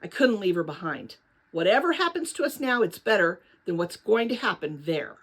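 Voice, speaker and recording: A woman's voice: mid-range voice, very animated, moderate pace, husky. A woman voicing an earnest, anxious and determined young girl in a storybook, with an urgent, emphatic delivery.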